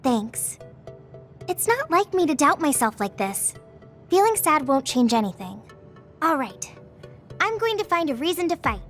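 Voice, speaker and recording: A young female soldier in a video game cutscene. Her voice is high and swings expressively, sincere with a bashful edge, as a devoted friend. Faint music runs underneath. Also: very animated, unhurried, pauses often. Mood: resolved, grateful. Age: young adult. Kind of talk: English video game voice acting.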